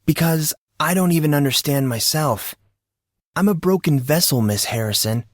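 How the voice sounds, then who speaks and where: A man's voice: a little high, normal speed, no room sound, clean studio sound, pitched above the performer's own voice, close to an everyday voice. A man voicing an intense, troubled teenage boy in an audiobook drama, earnest and self-deprecating, with impassioned, dramatic delivery. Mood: anguished confession.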